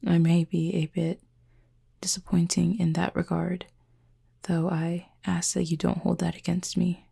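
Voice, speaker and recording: Husky, low-voiced cupid, acted by a woman. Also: little pitch movement, full character voice. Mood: wry, reserved. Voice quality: soft, breathy, husky with fry. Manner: measured, formal, wordy phrasing. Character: injured fallen cupid, formal, dry, aloof but curious. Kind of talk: intimate roleplay audio acting.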